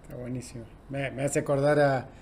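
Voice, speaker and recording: Man, Argentine accent, forties, host, casual, hesitant, middle pitch, faint noise. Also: little pitch movement, fairly quick, a little mellow. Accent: Argentine Spanish. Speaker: podcast host. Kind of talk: video call interview. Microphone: video call with earbuds.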